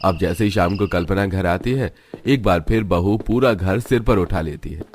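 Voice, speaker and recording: A man's voice: low-pitched, moderate pace, darkish tone. A man narrating a scripted family story for a cartoon.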